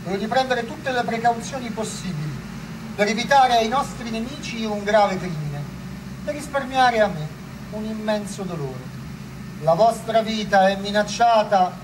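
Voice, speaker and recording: A very high male voice plays a troubled Pope in a historical radio drama. The delivery is declamatory. It sits away from the microphone amid plain noise. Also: expressive, normal speed, recorded from a distance. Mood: grave, worried. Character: troubled Pope and Roman men in 1849. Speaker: stage actor and narrator. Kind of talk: theatrical historical narration and dialogue.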